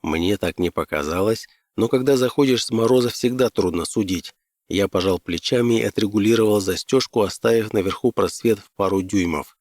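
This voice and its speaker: A man's voice: lowish voice, fairly quick, a little mellow, no room sound, studio quality. A man reading scripted first-person fiction as an audiobook narrator.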